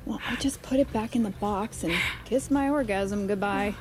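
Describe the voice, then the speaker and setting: A woman's voice: mid-range voice, moderate pace, heavy background noise, natural voice. An actress playing a chatty, candid wife who confides in her girlfriends, expressively, in an audio drama. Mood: confiding, exasperated. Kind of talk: naturalistic audio drama dialogue.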